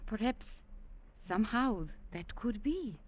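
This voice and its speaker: An actress playing a desperate young Peruvian servant woman, formal and halting, in a 1940s radio drama. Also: a little high, lively intonation, lo-fi sound, a little hiss or hum. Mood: pleading, desperate.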